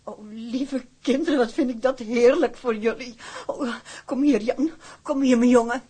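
An actress playing a doting, practical middle-aged mother, effusive and husky, in a drama. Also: fairly high pitch, normal speed, dark tone. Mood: joyful. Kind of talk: broadcast drama acting.

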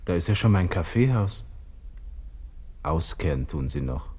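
Deep-voiced brooding Viennese lieutenant. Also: male voice, lively intonation, a little slow, warm tone, slightly rough, heavily acted. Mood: restless musing. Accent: Austrian, Viennese. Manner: muttering to himself, shifting abruptly from musing to exclaiming. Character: young Viennese army lieutenant, vain, agitated, brooding on death. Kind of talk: radio drama interior monologue.

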